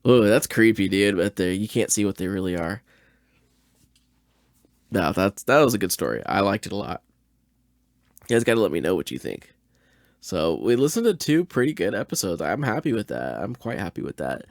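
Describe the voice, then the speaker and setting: Male podcast host, informal reaction chat, slightly low, expressive voice, dry sound. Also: moderate pace, pauses often, no echo. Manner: informal, slangy.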